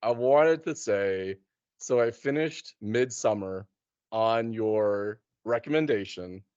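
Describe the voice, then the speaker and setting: A man's voice: lowish voice, slow pace, a little mellow, clear, resonant tone, no room sound, muffled recording. A man hosting a casual chat, talking in an enthusiastic, conversational way. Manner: enthusiastic, frequent laughter. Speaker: podcast host. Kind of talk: casual chat about role-playing games and films.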